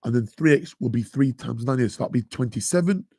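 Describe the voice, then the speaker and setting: A man's voice: a little fast, warm tone, husky, no room sound, studio quality. A man teaching a live maths revision lesson, chatty and filling his talk with "okay" and "guys". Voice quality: deep voice. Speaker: maths tutor.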